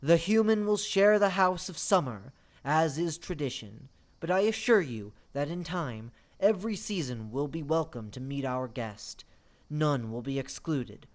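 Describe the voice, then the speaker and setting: A performer voicing a commanding, gracious fae monarch in a formal, measured radio-play manner. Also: lively intonation, a little mellow, natural voice. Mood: announcing. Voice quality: deep.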